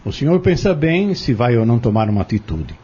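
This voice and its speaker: A man's voice: medium pitch, big pitch swings, a little fast, dark tone, husky, muffled recording. An actor in an audio drama playing an insistent, scheming man who goads and persuades in formal wording, bent on exposing a corrupt family. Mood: urgent, persuasive.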